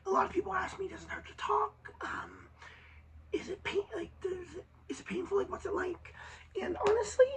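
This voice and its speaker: A young woman with a raspy, hoarse voice left by vocal surgery, talking haltingly in a casual vlog update with many pauses and restarts. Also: very high-pitched, a bit bright. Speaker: vlogger. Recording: slightly distant mic with faint hiss.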